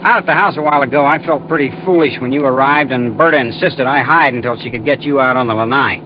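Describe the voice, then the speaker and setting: A man's voice: mid-range voice, quick pace, lightly acted. An American man playing an evasive, boastful car dealer in classic radio drama, chummy and talky with hesitant phrasing. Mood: sheepish. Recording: muffled, noisy vintage radio recording.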